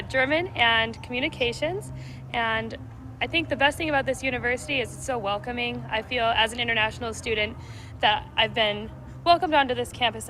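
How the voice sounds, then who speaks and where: Bright, high-voiced young woman. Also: slight background noise. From United States. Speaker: international exchange student. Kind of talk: testimonial to camera.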